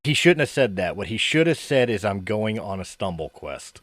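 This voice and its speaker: A man's voice: fairly low pitch, big pitch swings, normal speed, fairly bright tone, no room sound. A man hosting a casual podcast chat. He rambles, repeats himself and laughs often. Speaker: podcast host.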